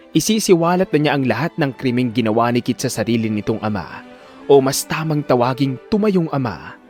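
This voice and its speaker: A man's voice: medium pitch, a little mellow. A man narrating a scripted audio drama in a suspenseful, theatrical manner. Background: music.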